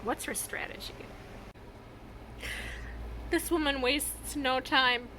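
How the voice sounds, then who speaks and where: A woman talking casually in a reaction vlog, with a halting, expressive fangirl delivery and dramatic pauses. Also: high-pitched, noisy background. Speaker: vlogger sharing reactions to fan fiction.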